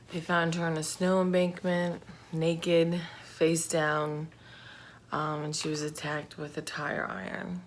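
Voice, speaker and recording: Low slow-talking girl vlogging true crime, chatty n slangy, bit of noise behind her. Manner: informal and conversational, slangy asides. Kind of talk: casual true crime storytelling.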